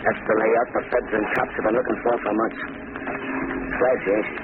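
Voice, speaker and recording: A man's voice: lowish voice, flat delivery, normal speed, close to an everyday voice. An actor playing a bossy, impatient American gangster henchman in 1930s radio drama, with clipped, slangy tough-guy talk. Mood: bossy, threatening. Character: hard-boiled male gangster henchman, bossy, impatient, suspicious. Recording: tinny, noisy 1930s radio recording.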